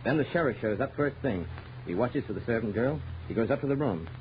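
A man's voice: medium pitch, expressive, fast, natural voice. Guy acting stubborn 1930s radio police detective, emphatic, dull hissy old recording. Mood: insistent, grim. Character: stubborn police detective airing a bold theory.

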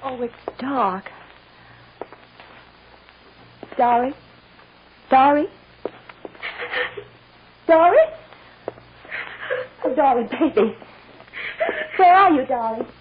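Loving, anguished mother: tender and dramatic, widely varied pitch, noisy vintage radio drama. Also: expressive, takes it slowly, pauses often. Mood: anguished, searching. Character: loving, anguished mother soothing her lost child. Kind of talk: 1940s-50s radio melodrama acting. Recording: muffled, noisy old radio recording.

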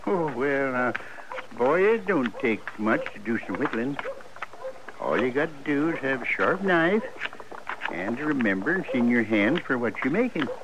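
A man's voice: medium pitch, big pitch swings, moderate pace, close to an everyday voice. An actor playing a talkative, nostalgic old Western man who rambles in folksy frontier speech in a radio drama. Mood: fond, reminiscing. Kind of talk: 1950s radio western acting. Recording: noisy old radio recording.

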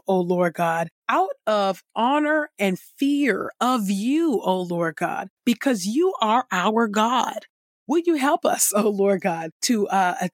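A woman reading scripture and praying as a devotional host, with measured, reverent phrasing. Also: a little high, dry recording, studio quality. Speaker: devotional podcast host and Bible reader.

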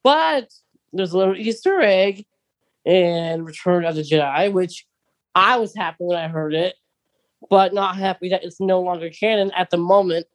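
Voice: mid-range voice, normal speed, no room sound. A podcast co-host chatting casually and animatedly about a fan topic.

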